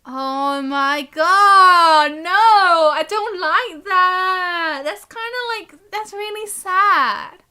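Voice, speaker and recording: High, bright-voiced woman streaming a game. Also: very slow, hardly any pauses. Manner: chatty, expressive, reactive. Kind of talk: live gameplay commentary, reading game text aloud. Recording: game music behind the voice. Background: game music.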